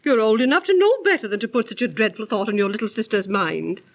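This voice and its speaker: An actress playing a proper, precise, genteel aunt who scolds gently and tells tales, 1930s radio drama style. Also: female voice, a little high, lively intonation, quick pace. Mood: gently reproving. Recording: dull, lo-fi 1930s radio recording.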